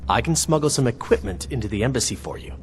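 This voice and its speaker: An actor playing a wary, grudging conspirator in a video game, speaking bluntly and skeptically. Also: male voice, mid-range voice, lively intonation, quick pace, long reverb tail, a little hiss or hum, lightly acted. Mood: businesslike, terse. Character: wary, grudging male conspirator smuggling gear. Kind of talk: scripted video game dialogue.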